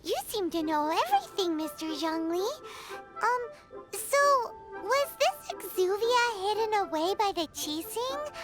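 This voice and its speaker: A tiny, chatty fairy companion from a fantasy game. The voice is extremely high, squeaky and childlike, swinging about in excitable, naive exclamations. Prominent music plays behind it. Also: female voice, unhurried, full character voice. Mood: excited, surprised. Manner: exclamatory, refers to self in third person. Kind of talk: video game voice acting. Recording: loud background music.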